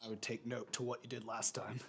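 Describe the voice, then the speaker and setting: A man's voice: mid-range voice, level tone, fast, fairly bright tone, breathy edge. A man with a stuffy, congested voice coaching a live at-home workout in his home gym and cueing sets and reps while he trains. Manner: cues sets and reps while exercising himself. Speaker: fitness instructor. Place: home gym in a converted bedroom.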